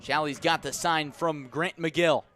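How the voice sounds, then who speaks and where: A man doing live radio baseball play-by-play as the announcer, with excited calls on the big plays. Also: a little high, unhurried. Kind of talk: live sports commentary.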